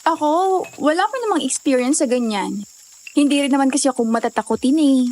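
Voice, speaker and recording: A bold, frank young Filipino woman hiker , acted by a woman in an audio drama. Her voice is high, with faint cricket noise around it. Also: fairly quick, darkish tone, natural voice. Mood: frank, easygoing. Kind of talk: conversational audio drama acting.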